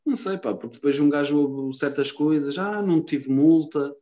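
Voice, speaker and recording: Um homem de Lisboa, com sotaque lisboeta, numa conversa descontraída, cheia de calão e palavrões e a divagar. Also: male voice, fairly high pitch, normal speed, dark tone. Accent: Lisbon Portuguese. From Lisbon, Portugal. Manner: slangy, swears a lot, rambling. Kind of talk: casual chat. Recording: dull lo-fi sound.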